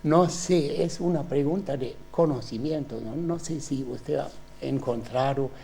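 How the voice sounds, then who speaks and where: Slow gravelly man. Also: mid-range voice, mellow, a little hiss or hum. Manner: slow, halting, many filler sounds. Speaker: thesis examiner. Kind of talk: formal academic questioning in non-native Spanish.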